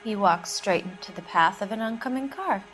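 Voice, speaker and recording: An actress playing a hard-bitten, defensive 1940s American widow in a video game, curt, dry and unsentimental. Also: female voice, mid-range voice, lively intonation, moderate pace, over loud music, lightly acted. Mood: bitter, defiant. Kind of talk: dramatic video game acting.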